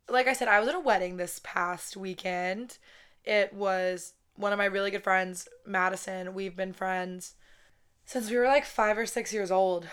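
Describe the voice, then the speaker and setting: Young woman podcaster, raspy from a wedding weekend, smooth tone underneath, says like a lot, swears, close mic. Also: mid-range voice, a bit bright. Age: 20s. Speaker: podcast host. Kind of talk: casual solo podcast chat. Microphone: podcast microphone, close.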